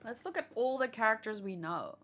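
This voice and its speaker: A woman hosting a read-along, chatting casually with viewers and thinking aloud, with hesitant pauses and musing hums. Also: on the high side, lively intonation, a bit bright. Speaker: host of a manga read-along reaction show.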